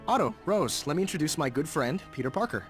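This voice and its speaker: A man plays an impatient young rich friend in a video game. He talks very quickly, with an animated pitch and faint music behind. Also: close to an everyday voice. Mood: brisk.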